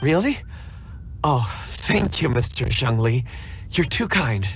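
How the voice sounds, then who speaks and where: A man's voice: mid-range voice, big pitch swings, takes it slowly, a little hiss or hum. A man playing a polite, anxious, forgetful wanderer in a video game, hesitant and apologetic. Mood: grateful.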